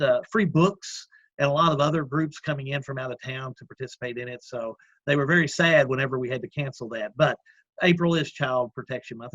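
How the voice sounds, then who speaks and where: A man with a loud voice, an executive director talking earnestly in a casual interview, with many fillers and restarts. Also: medium pitch, no echo, highs cut off. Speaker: community action executive director. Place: at home, remote call.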